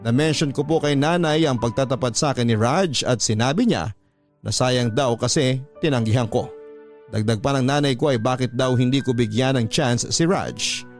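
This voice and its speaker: A man's voice: medium pitch, moderate pace. Man narrating a confession letter. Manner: confiding, storytelling. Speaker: narrator reading a listener's letter. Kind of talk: scripted radio narration. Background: faint music.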